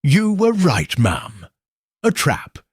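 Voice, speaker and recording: A man's voice: a little high, wildly swooping pitch, slow, husky, no echo, clean studio sound, pitched above the performer's own voice, exaggerated voice. A man doing a barking, curt police inspector. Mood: stern. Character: brusque stern police inspector.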